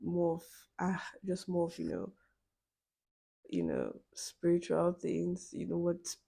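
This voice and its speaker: Husky-voiced young woman podcaster. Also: on the low side, big pitch swings, slow, dark tone. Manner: chatty, many "you know" fillers, laughs between phrases. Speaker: podcast host. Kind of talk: casual solo podcast chat.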